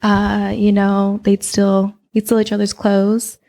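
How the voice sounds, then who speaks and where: A young woman from Oakland with a low voice, chatting casually as a podcast guest with lots of fillers. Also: takes it slowly. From Oakland, California. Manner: relaxed, chatty, with frequent fillers. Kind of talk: casual interview chat.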